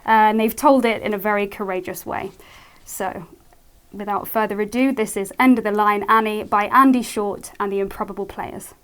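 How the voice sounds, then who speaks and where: A woman's voice: medium pitch, steady tone, moderate pace, a bit bright, clean tone. A woman festival presenter with a mid-range voice, introducing a radio play to an audience.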